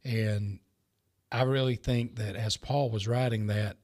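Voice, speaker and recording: A man's voice: lowish voice, fairly even intonation, measured pace, a little mellow, no echo. A man co-hosting a church podcast, tired and wry, with dry jokes. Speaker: podcast co-host. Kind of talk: casual church podcast chat.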